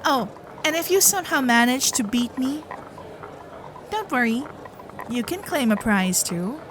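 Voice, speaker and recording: A woman voicing a sweet, playful fox-spirit girlfriend in an ASMR roleplay, coy and affectionate, with soft hesitations. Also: high-pitched, animated, measured pace, background noise. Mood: confident, teasing. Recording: festival crowd and fireworks sound effects.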